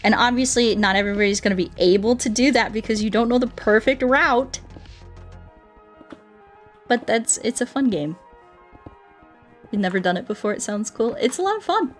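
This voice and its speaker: A woman's voice: fairly high pitch, expressive, moderate pace, pauses often. Female game streamer, rambling and playful, casual chat delivery over background music. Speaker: game livestreamer. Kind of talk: casual livestream chat while playing.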